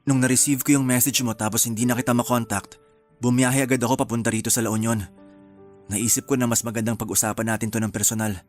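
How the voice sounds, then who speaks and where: An actor playing an earnest, candid young boyfriend in a Filipino radio drama. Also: male voice, medium pitch, level tone, fast talker, natural voice. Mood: serious, concerned. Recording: music under the dialogue.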